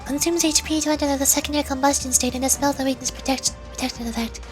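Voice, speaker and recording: A woman with a high voice plays through a story game on stream. She reads the on-screen text aloud dramatically and drops into casual reactions, with game music playing behind her. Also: steady tone, fast talker. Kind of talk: live game playthrough, reading dialogue aloud and reacting. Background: video game music.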